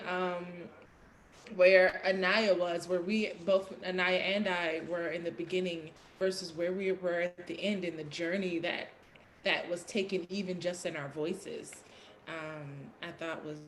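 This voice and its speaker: A woman with a fairly low voice speaks as a guest in a casual chat. She is thoughtful and halting, piecing her ideas together, with some noise behind her. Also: level tone, low-quality recording. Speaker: voice actor, panel guest.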